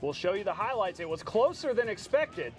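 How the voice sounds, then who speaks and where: A man with an American accent anchoring a sportscast, calling highlights with playful, jokey asides. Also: very animated, brisk. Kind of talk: highlight commentary and studio banter. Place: TV studio.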